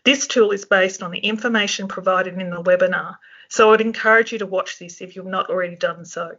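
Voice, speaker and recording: A presenter, croaky from a flu, reading a scripted webinar presentation steadily. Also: mid-range voice, steady tone, brisk, bright, forceful tone, highs cut off. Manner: reads steadily from a prepared script. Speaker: webinar presenter for a safety regulator.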